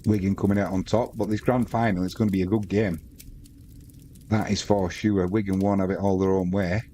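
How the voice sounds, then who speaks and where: A man's voice: low voice, level tone, a little fast, dark tone, slight background noise. A man with a Manx accent hosting a live sports stream, chatting casually and reading out viewer comments between bits of match commentary.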